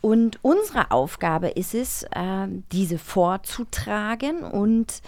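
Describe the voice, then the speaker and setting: A woman's voice: medium pitch, expressive, slow pace, darkish tone. A woman co-hosting a podcast, chatting casually. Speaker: podcast co-host. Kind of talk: casual chat.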